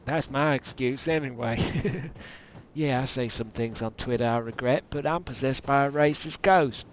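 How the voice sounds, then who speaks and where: A man's voice: medium pitch, normal speed. A man from the United Kingdom putting on a thick Southern US, Texan drawl while giving hammy, joking, rambling commentary as a game livestreamer. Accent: put-on thick Southern US, Texan drawl. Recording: noisy, game battle sounds behind.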